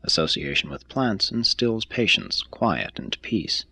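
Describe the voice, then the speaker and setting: A man reading a scripted text aloud as an audiobook narrator, with a measured, formal delivery. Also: on the low side, lively intonation, bright, forceful tone, breathy edge, no echo. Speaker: audiobook reader.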